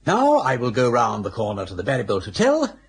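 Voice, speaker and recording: An actor playing a jaunty, meddling older English gentleman with husky, breezy upper-class banter in a radio drama. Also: medium pitch, wildly swooping pitch, fairly quick, heavily acted. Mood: rousing, bossy.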